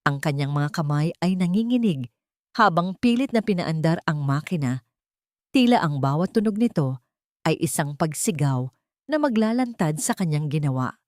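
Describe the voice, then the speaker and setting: A woman's voice: sits low, expressive, moderate pace, darkish tone, no room sound, studio quality. A woman narrating a scripted dramatic story as an audiobook, with a solemn, emotive delivery.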